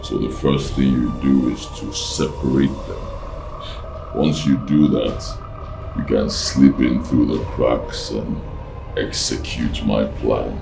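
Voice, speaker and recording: An actor playing a menacing male kidnapper who lays out his plan in slow, measured threats. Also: a bass voice, steady tone, vocal fry, heavily acted. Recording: roomy echo, loud music behind.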